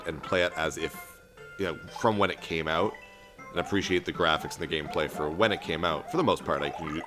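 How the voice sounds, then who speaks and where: A young man with a very low voice chats loosely while he plays, in a flat, monotone delivery full of ums and false starts. Game music plays clearly under his voice. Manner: self-described monotone, rambling with filler and restarts. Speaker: let's play host. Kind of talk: casual gameplay commentary. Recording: game music playing behind voice.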